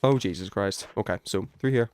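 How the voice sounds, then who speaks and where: A man doing casual, excitable gaming commentary, thinking aloud in slang as he chats with stream viewers. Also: fairly low pitch, brisk. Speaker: video game livestreamer.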